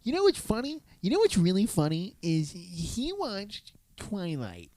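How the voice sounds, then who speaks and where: A man's voice: sits high, huge pitch swings, unhurried, a little mellow, no room sound. A man co-hosting a podcast in casual banter, with a crude, irreverent, joking way of talking. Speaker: podcast co-host.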